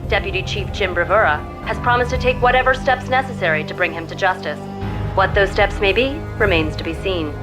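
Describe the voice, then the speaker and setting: An actress plays a serious local TV newswoman in a crime game. She has a medium-pitched American voice and reports crisply and formally, with music clearly behind her. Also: brisk, natural voice. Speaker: news anchor.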